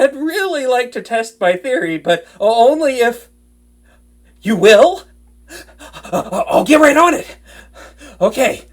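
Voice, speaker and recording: A woman playing a nervous, smitten young wizard in an intimate roleplay audio drama, with a stammering, excitable and bookish way of talking. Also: a little high. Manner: flustered stammering, hesitant, breathless enthusiasm.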